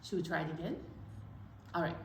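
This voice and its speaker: A woman's voice: low voice, a little fast, vocal fry. A woman hosting children's story time, playfully explaining things step by step and reciting rhymes in a roomy recording. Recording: roomy echo, faint background noise.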